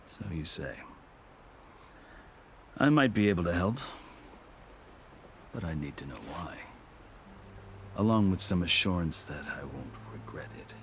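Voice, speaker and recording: An actor playing a proud, war-weary middle-aged army commander, speaking in an eloquent, formal, deliberate way for a video game cutscene. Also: male voice, low-pitched, animated, slight background noise. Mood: solemn.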